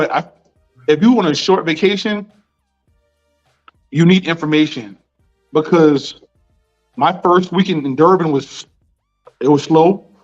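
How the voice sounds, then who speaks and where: A young American man being interviewed, talking in a casual, slangy way and repeating himself often. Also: fairly high pitch. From United States. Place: Nairobi. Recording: dull lo-fi sound with faint music.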